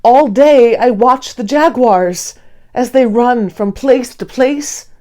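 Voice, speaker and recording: A woman's voice: on the high side, slow pace, mellow, voice pushed up. A woman voicing a pleasant, earnest male sloth character from a children's storybook, expressively. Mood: hopeful, determined.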